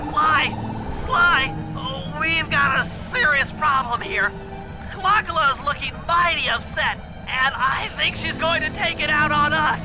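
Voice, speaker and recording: A man's voice: very high-pitched, measured pace, rough recording. A man voicing a nerdy, earnest young turtle strategist in a cartoon game, with precise, wordy delivery. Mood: alarmed. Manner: precise, wordy technical briefing. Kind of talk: video game cartoon voice acting. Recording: loud game music behind.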